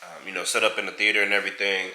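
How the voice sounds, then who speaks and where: Congested man with a bright, echoey voice. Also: sits low, level tone, racing. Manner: rambling, self-correcting. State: congested, nasal from a head cold. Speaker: vlog co-host. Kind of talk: casual home tour chat. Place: large home theater room, indoors. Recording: echoey room.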